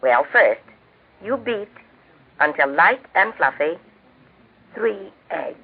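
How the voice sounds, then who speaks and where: An American woman with a genteel, measured, instructive manner, speaking scripted homemaking talk as host of a 1940s radio cooking show. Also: lowish voice, lively intonation, creaky, slightly rough. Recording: tinny band-limited 1940s radio recording.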